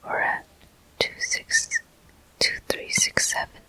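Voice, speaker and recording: Slow, bright ASMR whisper. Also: rough recording. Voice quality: breathy, unvoiced whisper. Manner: gentle, unhurried, short soft phrases. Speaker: host of a gaming ASMR video. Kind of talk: whispered ASMR gameplay commentary.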